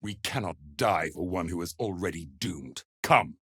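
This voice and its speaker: Stern warrior, video game, deep husky voice, curt, impatient, dry studio sound. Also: male voice, normal speed, sharp tone, dry recording, full character voice. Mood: urgent, impatient. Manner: curt, urgent, commanding.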